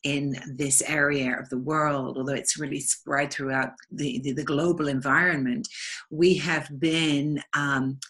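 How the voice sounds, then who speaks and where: A woman's voice: deep voice, level tone, measured pace, fairly bright tone, husky. A woman with an English accent speaking as a guest in an interview, earnest and reflective in a flowing manner. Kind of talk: spiritual interview talk.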